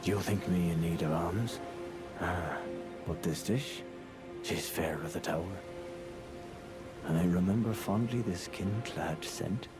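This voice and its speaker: A man playing a proud, dour, reserved warrior in a fantasy game, with stern and deliberate archaic speech. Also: sits low, over music. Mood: gruffly wistful. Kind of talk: dramatic fantasy game dialogue.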